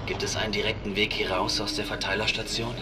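An actor playing a decisive, commanding spaceship captain in a sci-fi horror radio drama. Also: male voice, on the low side, flat delivery, fast talker, heavy background noise, natural voice. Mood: tense, hushed.

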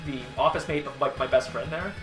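A man's voice: fairly high pitch, brisk. A young man from Wisconsin co-hosting a gaming show, telling long rambling stories with plenty of swearing and restarts. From Wisconsin, USA. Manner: rambling storyteller, swears freely, restarts often. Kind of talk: casual commentary chat over gameplay. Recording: roomy recording with game music behind.

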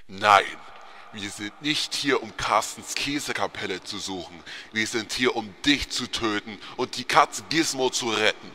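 A man voicing a brave, solemn knight who declaims gravely in a storybook tale. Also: on the low side, unhurried, sharp tone. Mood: defiant. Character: brave, resolute male knight, solemn and heroic.

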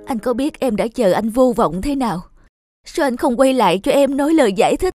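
Reproachful, emotional wounded sweetheart. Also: female voice, fairly high pitch, animated, a little fast, dark tone, no room sound, clean studio sound, soft background music. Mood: hurt reproach. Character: hurt, long-waiting middle-aged woman, reproachful lover. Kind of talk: melodramatic animated film dialogue.

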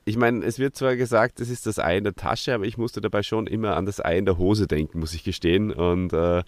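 A man with a lowish voice hosts a casual podcast, recorded close and dry. He explains in a halting, conversational way, repeating words as he goes. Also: dry recording. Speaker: podcast host. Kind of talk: casual chat.

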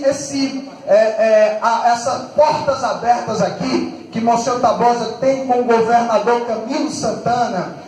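A man's voice: very high-pitched, darkish tone. A man with a northeastern Brazilian accent giving an impassioned political speech as a mayor, emphatic and repetitive, over a PA in a hall. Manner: emphatic, repetitive, rhetorical questions. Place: large open-roofed hall, at a head table. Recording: very echoey hall public-address recording.

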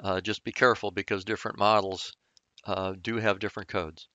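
A man's voice: lowish voice, level tone, fairly quick, pushed and bright, lo-fi sound, a little hiss or hum. A man giving a short cautionary voice-over note about wiring codes. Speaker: car restoration vlogger.

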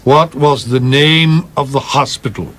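A male actor plays a stern film producer in a radio drama. His voice sits at a medium pitch, prim and skeptical, questioning his colleagues to keep them in line. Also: a little slow, fairly bright tone. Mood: suspicious. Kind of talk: radio play acting.

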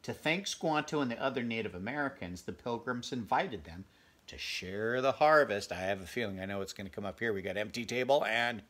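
A man in his fifties with a gravelly voice reads a picture book aloud, adding chatty, conversational asides for young listeners. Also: lowish voice, fairly quick, fairly bright tone. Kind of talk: children's read-aloud with casual asides. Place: small furnished bedroom, indoors.

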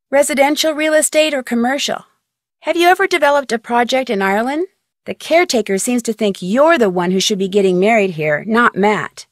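A woman plays a young female sleuth from a mystery video game, curious and polite. Her voice sits on the high side and moves with animated intonation. The sound is dry and close. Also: no room sound, close to an everyday voice. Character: inquisitive, polite young female amateur detective.

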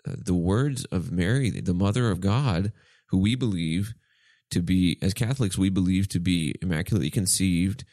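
Young man, twenties, very low voice, thoughtful storyteller, dry studio sound. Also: normal speed, dark tone, no echo. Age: 20s. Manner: thoughtful, explanatory, with restarts. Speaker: podcast co-host. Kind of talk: casual podcast chat, storytelling.

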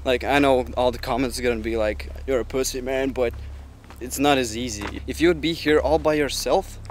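A young man talking casually to a handheld camera as he walks outdoors, sounding uneasy and halting, swearing as he goes. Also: mid-range voice. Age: young adult. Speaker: vlogger. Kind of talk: casual solo exploration vlog. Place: outdoors in woods, walking. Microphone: handheld camera at arm's length. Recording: noisy outdoor handheld recording.

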